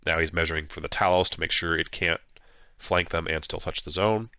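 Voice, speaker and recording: A man's voice: deep voice, fairly even intonation, a little fast, pushed and bright, no room sound, lo-fi sound. A man getting over a flu, his nose stuffed up, casually narrating his own tabletop battle as a player with plenty of fillers. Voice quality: nasal, stuffed-up. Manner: thinking aloud, hesitant with fillers. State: congested from a flu. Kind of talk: casual play-by-play commentary.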